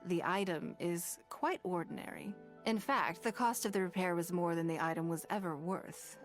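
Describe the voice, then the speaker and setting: An actor, a woman, playing a poised, gracious and shrewd noblewoman in a video game, composed and measured. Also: fairly low pitch, faint music behind, lightly acted. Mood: composed, businesslike.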